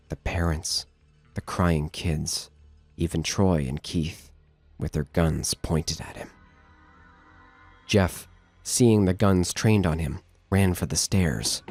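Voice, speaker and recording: A man narrating a scripted horror story in a measured, dramatic storytelling manner. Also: deep voice. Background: music.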